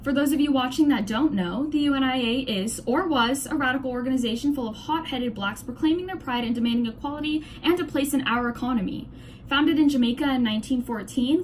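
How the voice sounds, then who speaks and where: High-voiced young woman reading scripted news. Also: a little hiss or hum. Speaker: presenter of a student-made 1920s-style news report. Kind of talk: scripted news commentary in character.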